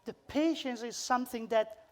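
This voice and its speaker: A woman's voice: a little high, moderate pace, darkish tone. A woman with an Italian accent, a photographer telling stories to a seminar as its speaker, repeating words for emphasis in informal English. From Italy. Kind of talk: live seminar storytelling.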